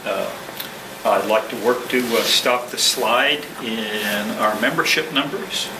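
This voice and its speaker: A man speaking as a club president, giving a prepared address to a meeting in a halting, measured, formal way. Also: on the low side. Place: indoor meeting room with ventilation hum. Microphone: distant room microphone. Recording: distant mic, echoey room, clear background noise.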